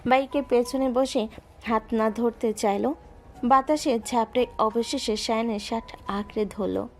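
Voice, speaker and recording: A woman narrating a romantic audio drama in a calm, steady storytelling voice. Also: on the high side, unhurried, soft background music.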